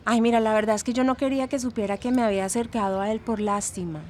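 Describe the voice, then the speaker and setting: A chatty, soft-hearted woman with a Latin American accent, played by an actress gives testimony in an audio drama. Her voice is fairly high, gossipy and expressive, with faint ambient noise behind. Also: fast, close to an everyday voice. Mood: chatty, sympathetic.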